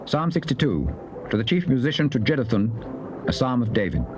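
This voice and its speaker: A man's voice: medium pitch, big pitch swings, brisk, background noise. A man formally announcing scripture titles as a scripted introduction.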